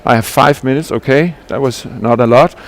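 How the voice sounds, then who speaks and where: A man's voice: mid-range voice, normal speed. A man from Denmark, giving an earnest conference presentation as a landscape architect, explaining ideas with restarts and rhetorical questions.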